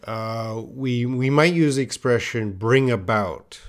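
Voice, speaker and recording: A man's voice: medium pitch, slow. A man teaching English in a live online lesson, patiently reading out viewers' answers and correcting them with repeated example phrases.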